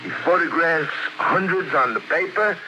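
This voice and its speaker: An actor playing an eager, uneducated crook's sidekick in a radio drama, talking earnest, folksy slang. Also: male voice, fairly high pitch, a little slow, close to an everyday voice. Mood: earnest calculating. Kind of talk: old-time radio crime drama acting. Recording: noisy old radio recording.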